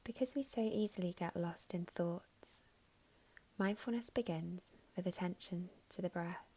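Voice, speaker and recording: A woman guiding a meditation in a soft, soothing, unhurried way with long pauses, her voice breathy and slipping toward a whisper at phrase ends. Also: on the low side. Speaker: meditation guide. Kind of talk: guided meditation narration.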